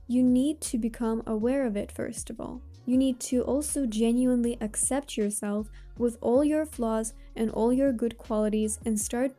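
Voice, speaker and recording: A woman with a Russian accent, a native Russian speaker, gives motivational advice straight to viewers in measured, deliberate phrases with emphatic pauses. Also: on the high side, dark tone. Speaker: host of a self-improvement channel.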